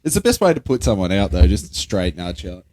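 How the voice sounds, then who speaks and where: A man's voice: low-pitched, sing-song, a little fast, a little mellow. A young Australian man co-hosting a podcast, bantering casually and bluntly with plenty of swearing and slang. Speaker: podcast co-host.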